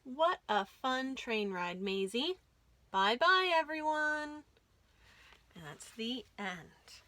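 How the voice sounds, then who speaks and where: Storytime librarian lady, highish voice, really unhurried, reads expressively for little kids. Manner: expressive, deliberate delivery for young children. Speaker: children's librarian and storytime host. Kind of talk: children's read-aloud storytime.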